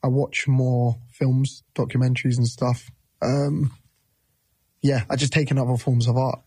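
A man's voice: mid-range voice, flat delivery, unhurried, dark tone, slightly rough, no room sound. A man in his thirties, a musician guest, talking casually in an interview, loose and rambling with many restarts.

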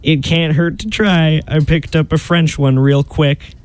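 A man's voice: a little high, fairly even intonation, measured pace, lightly acted. An actor playing a lovesick, folksy Southern man in a hammy comic radio play. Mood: plaintive, folksy.

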